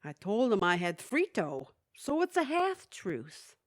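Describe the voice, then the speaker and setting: Animated, kvetching older widow. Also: female voice, medium pitch, measured pace. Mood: sheepish, defensive. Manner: kvetching, folksy, Yiddish-peppered. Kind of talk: audio theatre comedy acting.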